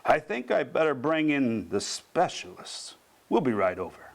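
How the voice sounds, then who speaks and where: A man's voice: mid-range voice, big pitch swings, measured pace, vocal fry. A man voicing a fussy, curious male doctor in a children's storybook, asking questions and musing aloud. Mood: thoughtful. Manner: mumbling, exclaiming, rattling off questions.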